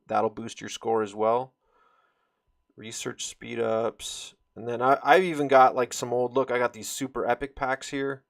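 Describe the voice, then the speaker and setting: A man giving an informal, rambling walkthrough of a game, explaining details as he goes. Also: medium pitch. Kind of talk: informal screen-share game tutorial.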